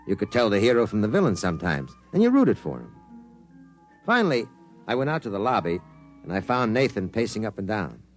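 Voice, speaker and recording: A man's voice: fairly low pitch, sing-song, brisk, faint music behind. An actor in a 1950s radio drama playing a world-weary male newspaper reporter who narrates the story. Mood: narrating.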